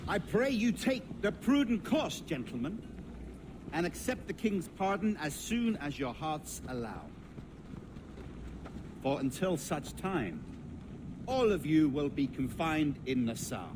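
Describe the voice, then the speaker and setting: An actor playing a courteous but firm colonial naval governor, speaking in formal, measured oratory for a game. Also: male voice, on the high side, sing-song, background noise. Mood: formal, persuasive. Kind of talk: dramatic game cutscene acting.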